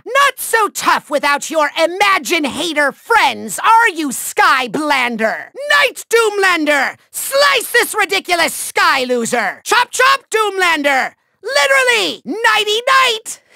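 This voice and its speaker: An actor playing a petulant, gloating little evil overlord in a video game, with a shrill gravelly voice and a bombastic, taunting, whiny delivery. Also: male voice, pushed and bright, no echo, studio quality, exaggerated voice. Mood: gleeful commanding. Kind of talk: theatrical cartoon villain voice lines.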